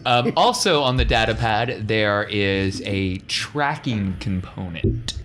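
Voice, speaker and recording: A low-pitched man runs a tabletop roleplaying game as game master. He narrates and rules casually, with light noise behind his voice. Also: lively intonation, slow, a bit bright. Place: tabletop podcast recording.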